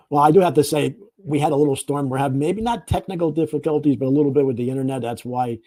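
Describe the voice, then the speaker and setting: Man, host, mellow voice, rambling, friendly questions, video call. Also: a little high, fast, dry recording. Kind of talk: casual interview chat.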